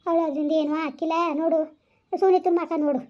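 A woman's voice: extremely high pitch, steady tone, brisk, muffled recording. A woman acting a doting older mother consoling her grown son in a rural southern Karnataka accent, coaxing and repeating herself fatalistically. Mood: tender, resigned. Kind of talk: amateur family melodrama.

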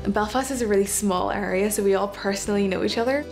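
A woman's voice: mid-range voice, quick pace. A teenage girl who grew up in Northern Ireland after moving from Malaysia, chatting easily in a documentary interview at home. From Malaysia, raised in Northern Ireland. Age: teens. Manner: chatty, relaxed, uses fillers like "you know". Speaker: interviewee, daughter. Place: home living room. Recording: guitar music underneath.

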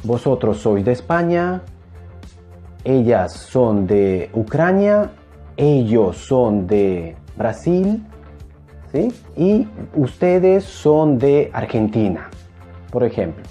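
A young man teaching a language lesson to camera in an emphatic, animated manner, repeating phrases. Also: medium pitch, slow, faint music behind. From Peru. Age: young adult. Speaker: Spanish teacher. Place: small indoor room, plain wall. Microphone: clip-on lapel mic.

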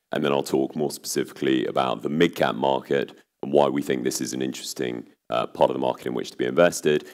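A man in his thirties with an exceptionally low voice, still recovering from laryngitis, presents at an investor seminar. He talks in a measured way, with many ums and restarts. He is picked up by a lapel mic in a large conference hall. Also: a little mellow. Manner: measured, with frequent fillers and restarts.

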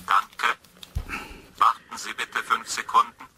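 An actor playing a cold, dutiful psychological testing machine in a science fiction radio play, speaking formally and impersonally. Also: male voice, mid-range voice, completely flat delivery, takes it slowly, lightly acted. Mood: impersonal, insistent. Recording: noisy radio play recording.

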